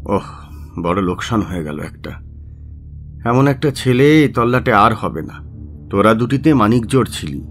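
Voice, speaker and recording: Older doctor, avuncular, sardonic, animated delivery, faint music behind. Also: male voice, lowish voice, normal speed, pauses often. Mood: regretful. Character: gruff older small-town male doctor, grumbling, familiar. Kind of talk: radio play acting.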